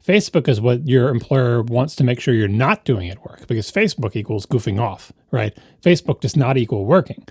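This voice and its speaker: A man co-hosting a casual tech podcast, his voice hoarse and gravelly, rambling with restarts. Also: mid-range voice, animated, fairly quick, hardly any pauses, a little mellow, no room sound, clean studio sound. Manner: rambling, restarts mid-sentence. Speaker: podcast co-host.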